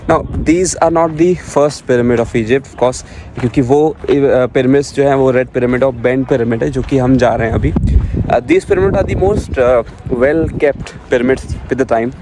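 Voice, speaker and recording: A young man from India with an Indian accent giving a casual, rambling walking-tour commentary at an ancient site, with many pauses and restarts. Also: medium pitch, rough recording. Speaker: travel vlogger. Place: outdoors at an ancient monument site and inside a stone passage. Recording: loud outdoor noise with passing vehicles.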